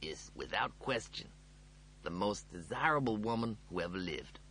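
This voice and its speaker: Bloke playing a cocky cat guy, animated, opinionated, bit of background hum. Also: on the low side, moderate pace. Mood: quiet authority. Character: vain, wistful humanoid male cat, earnestly opinionated. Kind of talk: audiobook character voice.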